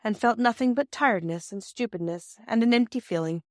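A woman's voice: fairly high pitch, fairly quick, darkish tone, no room sound. Woman narrating a storybook, dry close sound. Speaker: audiobook narrator.

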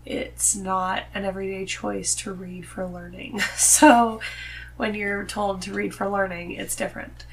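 A woman's voice: mid-range voice, level tone, unhurried, vocal fry, poor audio. A woman speaking as a podcast guest in casual chat, with a frank, opinionated manner. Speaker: podcast guest, host's wife.

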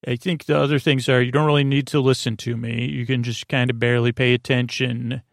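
A man hosting a bedtime podcast in a murmuring drone, meandering and halting as he stammers and repeats words. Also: medium pitch, little pitch movement, gravelly, dry recording, clean studio sound. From United States. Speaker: podcast host. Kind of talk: rambling bedtime podcast monologue.

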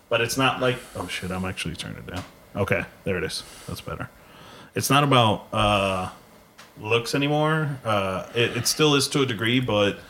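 A man's voice: lowish voice, a bit bright, a little hiss or hum. A man in his early thirties co-hosting a casual podcast, laid-back and swearing offhandedly. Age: early 30s. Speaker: podcast co-host.

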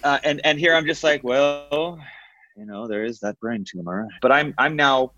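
A man with a big baritone voice chatting as a podcast guest, telling rambling, self-deprecating stories with many restarts. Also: normal speed, sharp tone, lo-fi sound. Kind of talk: casual podcast chat.

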